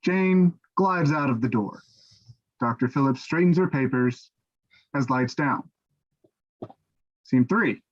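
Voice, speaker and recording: A man's voice: medium pitch, slow, pauses often. A man reading stage directions as scripted narration for a play, on a dull microphone.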